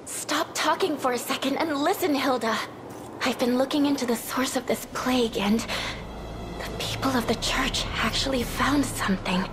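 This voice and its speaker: A woman's voice: high voice, normal speed, lightly acted. An actress playing an earnest, brave young woman of faith in a fantasy game, insistent and pleading. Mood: urgent, insistent. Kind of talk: theatrical fantasy game acting. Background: music.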